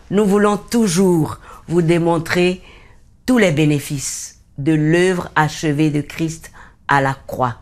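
A woman hosting a religious programme, presenting to viewers in a scripted way. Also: fairly low pitch. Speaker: TV host.

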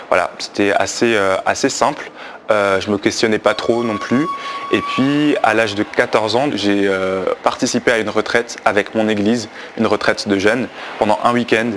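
A man's voice: on the low side, fairly bright tone, a little hiss or hum. A man in his twenties from Belgium telling his personal faith testimony, hesitant with many fillers and restarts. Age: 20s. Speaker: testimony speaker.

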